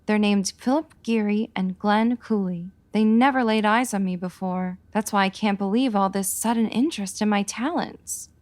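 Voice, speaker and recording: A woman's voice: medium pitch, moderate pace, hardly any pauses, a little mellow, natural voice. An actress playing a worried, earnest showgirl in the melodramatic manner of 1940s radio noir.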